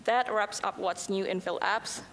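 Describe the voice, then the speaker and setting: A woman whose first language is Indonesian, presenting a scripted software demo at a conference, with a few slips and restarts. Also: medium pitch, unhurried, a little hiss or hum. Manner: reads prepared lines, occasional slips and restarts. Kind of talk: scripted product demo talk. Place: conference hall. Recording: long hall reverb, faint ambience.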